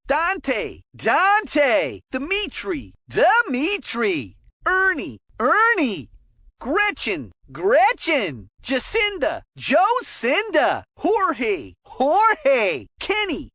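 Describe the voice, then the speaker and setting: An actor playing an excitable cartoon hockey commentator, calling out player names with punchy, drawn-out delivery. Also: very high-pitched, no room sound, studio quality, exaggerated voice. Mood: measured announcing. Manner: drawn-out, punchy name calls. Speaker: sports game commentator.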